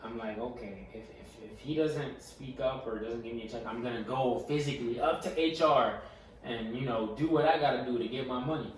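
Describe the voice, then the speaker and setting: A man's voice: mid-range voice, a little fast. Stuttering young man telling stories, echoey room. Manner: animated storyteller, stutters and repeats words. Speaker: podcast co-host. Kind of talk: casual podcast chat. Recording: echoey room, mic far off, faint noise.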